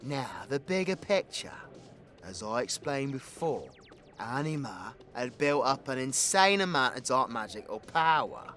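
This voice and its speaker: Guy doing a cocky british villain for asmr roleplay, slow drawl, forceful voice, swearing, faint music behind. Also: mid-range voice, big pitch swings. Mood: brooding, confiding. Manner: swaggering, crude, theatrical, dropping into menacing whispers. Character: cocky, foul-mouthed male supervillain with dark magic. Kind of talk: ASMR audio roleplay acting.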